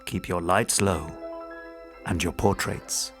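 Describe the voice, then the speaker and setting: A man giving scripted narration of gothic ghost stories as a host, in a theatrical, expressive storytelling manner. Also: low voice, takes it slowly, music in the background. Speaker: podcast host and audiobook narrator.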